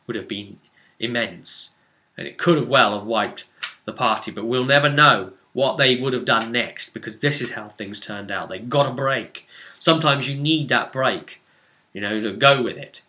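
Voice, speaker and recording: A man speaking as a game master, casually recounting a tabletop role-playing session in an animated, rambling way, stressing points and then trailing off. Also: fairly low pitch.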